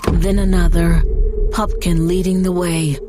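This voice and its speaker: Lowish-voiced woman narrating horror fiction. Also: little pitch movement, a little slow, heavy background noise. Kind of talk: scripted dramatic audiobook narration. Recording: music behind the voice.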